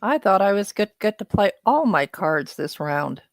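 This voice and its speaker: Woman, mid-pitched, relaxed fellow player with short remarks, dry recording. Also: normal speed, no room sound. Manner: brief, easygoing remarks. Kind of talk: casual chat while gaming.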